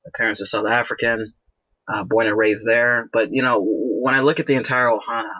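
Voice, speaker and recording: A man's voice: fairly low pitch, fairly even intonation, fairly quick, dry recording. Rambling man from Canada on dull audio. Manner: rambling, with many restarts and "you know". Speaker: podcast host. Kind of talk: casual podcast interview.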